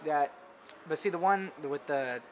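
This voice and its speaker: A man's voice: a little high, unhurried. A young man asking conversational questions as an interviewer. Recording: noisy room, off-camera questions.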